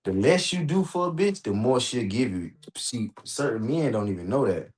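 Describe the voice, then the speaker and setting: An American man talking casually on a livestream, animated and slangy, swearing often and repeating himself for emphasis. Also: mid-range voice, normal speed. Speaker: host reacting on a livestream. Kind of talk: casual rambling livestream talk.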